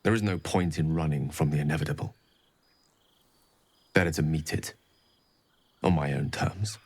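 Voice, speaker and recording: A romantic, melancholy wizard in a fantasy video game. His low voice is intimate and measured, with eloquent, poetic phrasing and a very dry, close sound. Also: husky, dry recording, heavily acted. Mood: grave, resigned.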